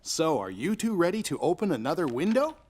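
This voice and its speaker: A man voicing a gentle, patient father in a children's cartoon, soothing and encouraging. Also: a little high, big pitch swings, fairly quick. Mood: warm, patient. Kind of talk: children's cartoon acting, storybook telling.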